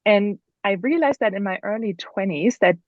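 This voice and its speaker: A woman's voice: mid-range voice, animated, moderate pace, dry recording, lo-fi sound. A woman with a German accent, a guest author telling her story in a casual podcast interview, earnest and thoughtful with many fillers.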